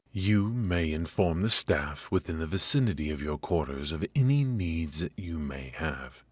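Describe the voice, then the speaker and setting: A man playing a stern, aloof royal mage and mentor, speaking formally and imperiously with a husky voice in a scripted ASMR fantasy roleplay. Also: very deep voice, no room sound, heavily acted. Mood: formal, instructive.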